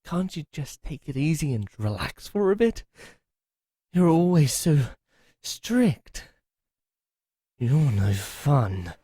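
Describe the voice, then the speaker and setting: A man acting in an audio roleplay as a playful, sinister manor servant, talking slowly and theatrically. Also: a little high, sing-song, dark tone, slightly rough, no room sound, clean studio sound. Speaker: servant called Happiness greeting a captive.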